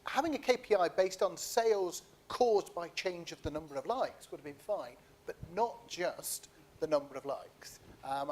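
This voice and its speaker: A man's voice: on the high side, darkish tone, slight background noise. A man speaking on a panel, explaining his case emphatically point by point. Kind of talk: panel discussion talk.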